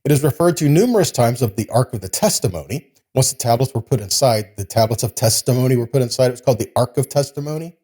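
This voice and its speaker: A man's voice: medium pitch, lively intonation, fast talker, warm tone, slightly rough. A man giving a Bible teaching, reading scripture and explaining it in a didactic way with short check-in questions. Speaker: religious teacher giving a sermon.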